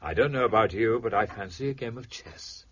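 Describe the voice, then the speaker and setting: A man playing a low, cool gentleman detective. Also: lively intonation, highs cut off, noisy background, close to an everyday voice. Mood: dry, composed. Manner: measured, formal, dryly teasing. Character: cool, precise gentleman amateur detective, wry and self-assured. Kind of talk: period radio drama acting.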